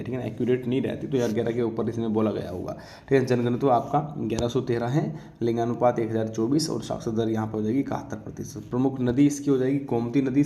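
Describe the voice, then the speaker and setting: A man's voice: mid-range voice, dark tone. Man teaching in an echoey room. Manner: instructive, repeats check-in tags and asks questions he answers himself. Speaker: exam coaching teacher. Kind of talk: informal exam-prep lecture to students.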